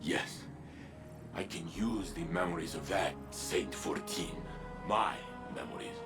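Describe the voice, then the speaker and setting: Very deep, slow, weary warrior. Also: male voice, over music. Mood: solemn resolve. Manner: grave, deliberate, halting. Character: weary, solemn, resolute male veteran warrior robot. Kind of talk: video game cutscene acting.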